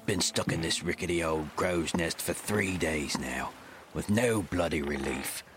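A man playing a disgruntled but obedient pirate lookout in an audio drama, with rough, old-fashioned sailor's speech. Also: low-pitched, unhurried, a bit bright, soft background music. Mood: bitter muttering. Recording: clear background ship ambience noise.

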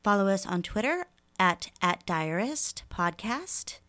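A woman's voice: mid-range voice, very animated, takes it slowly, a bit bright. A woman presenting a podcast, reading a scripted appeal to listeners.

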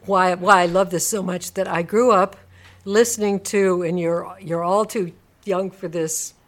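Older woman hosting, slightly low voice. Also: steady tone, measured pace. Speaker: host. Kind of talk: casual group chat on community radio.

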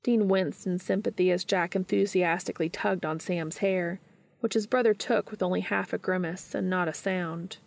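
A woman's voice: fairly low pitch, steady tone, normal speed, vocal fry. A woman reading a story aloud as scripted narration. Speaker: fan fiction reader.

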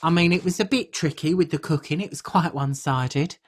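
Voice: medium pitch, brisk. Chatty, rambling podcast co-host. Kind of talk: casual comic podcast chat.

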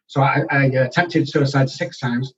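A man's voice: mid-range voice, a little slow. Manchester man heard from afar, muffled. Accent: Manchester English. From England. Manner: frank, matter-of-fact, with restarts. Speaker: guest. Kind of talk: candid personal storytelling. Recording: distant, dull-sounding remote recording.

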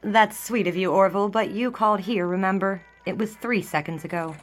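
An American woman playing a brisk, unimpressed 1920s newspaper office worker with a dry, sarcastic manner on the telephone. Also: medium pitch, faint music behind, natural voice. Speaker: actress in an audio drama.

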